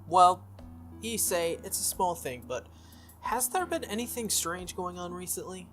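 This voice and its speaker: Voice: mid-range voice, lively intonation, measured pace, a bit bright, soft background music, pitched above the performer's own voice. Easygoing, curious teenage schoolboy hero, read by a streamer. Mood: casual, hesitant.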